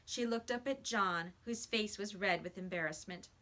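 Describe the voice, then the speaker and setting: A woman's voice: mid-range voice, moderate pace, pushed and bright, slight background noise. A woman reading a children's story aloud like a teacher, with emphatic, dramatic storytelling.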